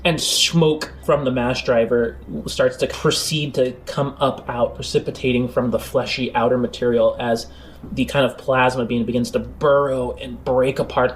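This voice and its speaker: A man's voice: mid-range voice, slight background noise, low-quality recording. A man working as game master, describing scenes in a tabletop roleplaying game in a conversational but dramatic way. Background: background music.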